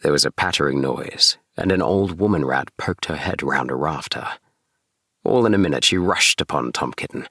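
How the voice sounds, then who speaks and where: A man narrating a bedtime audiobook in a gentle, soothing storytelling manner. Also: very deep voice, vocal fry, no echo, clean studio sound. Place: small DIY recording booth.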